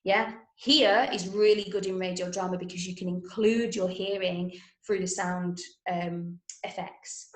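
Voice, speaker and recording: A woman's voice: lowish voice, steady tone, a little slow. A woman from Manchester with an English accent, teaching a script-writing workshop and often checking that listeners follow. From Manchester, England. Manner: explanatory, checks understanding with questions. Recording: roomy, echoing room sound.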